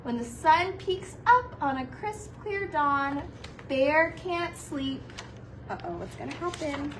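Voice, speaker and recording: A woman with a high, very expressive voice reads a children's storybook at an unhurried pace. She sounds like a kindergarten teacher, animated and playful, dropping little asides to her young listeners. She is recorded a little way from the microphone. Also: distant mic, noisy background. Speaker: kindergarten teacher reading a picture book.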